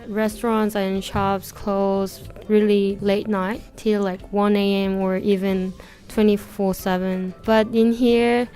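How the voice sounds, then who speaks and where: A young woman from Korea, a native Korean speaker, talks slowly and evenly in a mellow voice. Faint music plays under her. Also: medium pitch. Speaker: interviewee, student.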